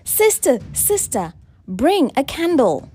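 A woman's voice: mid-range voice, expressive, moderate pace, a little hiss or hum, lightly acted. A woman voicing urgent, fearful sisters who call out to one another in a fairy tale. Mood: excited urgency. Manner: calling out, repetitive, urgent.

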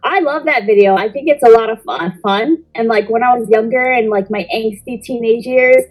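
A woman's voice: fairly high pitch, moderate pace, soft background music, low-quality recording. A woman co-hosting a casual live-stream chat, talking enthusiastically and casually. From New York.

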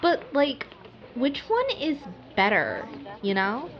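A woman's voice: high-pitched, very animated, takes it slowly, heavily acted. A woman playing a vain, needy, easily offended customer in an audio drama, whiny and entitled. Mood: needy, coy. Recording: muffled, noisy hidden-bug recording in a shop.

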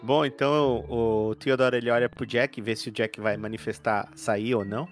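A man's voice: mid-range voice, steady tone, moderate pace, soft background music. A man with a Brazilian accent casually narrating his character's actions as a role-playing game player.

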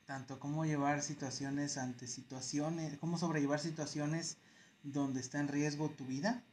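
A man's voice: fairly high pitch, steady tone, moderate pace, darkish tone, a little hiss or hum. A man with a Mexican accent co-hosting a casual podcast chat, telling work stories in heavy slang. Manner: slangy, storytelling, acts out haggling voices. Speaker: podcast co-host.

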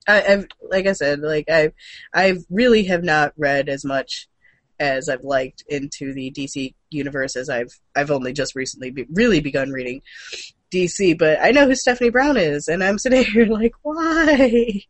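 A woman's voice: low voice, moderate pace, no echo. A woman co-hosting a casual gaming and comics news stream, speaking in a loose, informal way. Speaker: show co-host.

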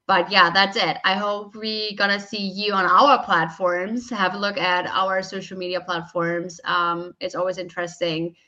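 A woman's voice: fairly low pitch, flat delivery, moderate pace, few pauses, sharp tone, muffled recording. A young woman gives conversational advice as a podcast guest, feeling her way through her phrasing. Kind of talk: conversational interview answers with practical advice.

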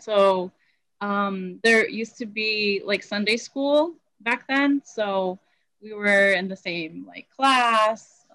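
A woman's voice: medium pitch, fairly bright tone, no room sound, rough recording. A woman co-host chatting loosely, full of "like"s and small laughs. Her voice is mid-range for a woman, recorded close and dry. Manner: giggly, rambling with many "like"s. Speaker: podcast co-host. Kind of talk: casual chat.

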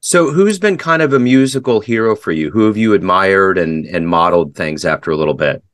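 A male interview host with a fairly low voice, recorded dry with no room sound. He asks friendly, open questions that prompt the guest to talk. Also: brisk, a bit bright, no echo.

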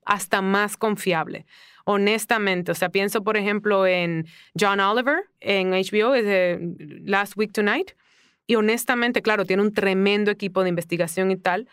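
A woman's voice: mid-range voice, steady tone, moderate pace, fairly bright tone, clean tone, no room sound, clean studio sound. A woman co-host adds her thoughts and asks the guest a question in a casual chat. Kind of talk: casual podcast conversation.

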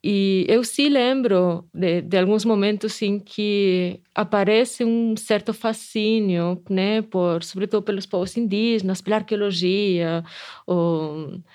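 A woman's voice: mid-range voice, slow, a little mellow. A middle-aged woman professor with a Spanish accent, speaking Portuguese as a second language, answering interview questions thoughtfully, with frequent restarts and tag questions. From Spain. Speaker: anthropology professor.

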